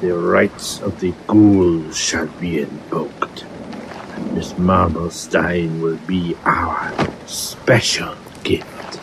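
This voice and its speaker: A man's voice: deep voice, fairly even intonation, extremely slow, creaky, noisy background, heavily acted. A man playing a pompous, menacing vampire master in a horror audio drama, speaking formally and grandly. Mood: cold, commanding. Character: sinister male vampire master, commanding, grandiose.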